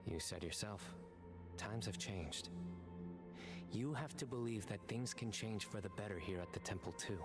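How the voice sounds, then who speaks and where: An actor playing a stern but sincere young officer in a video game, speaking in a measured, formal way. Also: male voice, on the low side, natural voice. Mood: calm, reassuring. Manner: measured, formal, deliberate delivery. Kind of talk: scripted video game dialogue. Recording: loud game music behind. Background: game soundtrack music.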